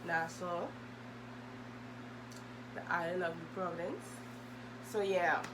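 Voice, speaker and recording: A woman's voice: lowish voice, fairly even intonation, slow. A young adult woman, chatting casually and hesitantly as she answers questions for a vlog. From Bahamas. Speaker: video host. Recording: loud humming background noise.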